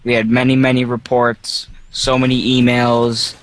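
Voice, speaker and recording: A man's voice: medium pitch, monotone, unhurried, no echo, close to an everyday voice. A man playing an earnest website manager on a prank phone call, explaining things and repeating words for emphasis. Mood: insistent. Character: earnest male website manager reporting user complaints. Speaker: prank caller.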